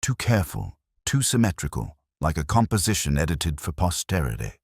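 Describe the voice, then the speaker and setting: Man acting a very deep-voiced brooding detective. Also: big pitch swings, husky, no room sound, studio quality, heavily acted. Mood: brooding, reflective. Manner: measured, literary, reflective delivery. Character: brooding, erudite middle-aged male detective narrating his case. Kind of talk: scripted first-person mystery narration.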